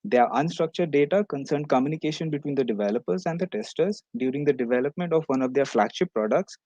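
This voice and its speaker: A man's voice: on the high side, expressive, fast talker, a little mellow, dry recording. Man presenting research, dry close sound. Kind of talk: prepared academic conference presentation.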